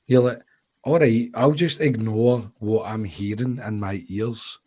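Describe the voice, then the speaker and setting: A man's voice: fairly low pitch, fairly even intonation, measured pace, dark tone, no room sound. A man on a stream panel, talking in a sarcastic, mocking tone. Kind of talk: sarcastic commentary on a live stream.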